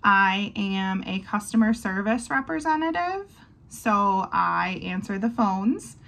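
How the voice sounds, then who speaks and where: A woman in her twenties reading a children's picture book aloud in measured phrases, pausing between them. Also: medium pitch, gravelly. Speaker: volunteer storybook reader. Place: small wood-panelled bedroom indoors.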